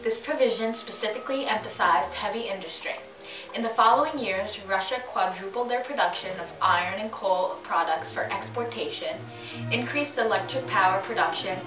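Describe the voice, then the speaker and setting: A woman's voice: medium pitch, lightly acted. A woman playing an earnest, theatrical TV news magazine anchor, reading scripted narration and questions in a formal announcer manner. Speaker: mock news host and interviewer. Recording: echoey room, distant mic, loud music.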